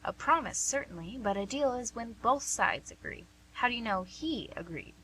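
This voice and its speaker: An actress with an American accent playing a young, inquisitive woman who gently probes in a conversational way, in a radio play. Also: on the high side, animated, normal speed, slight background noise, poor audio. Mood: gently challenging. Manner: questioning, conversational. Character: young, inquisitive, gently probing female listener.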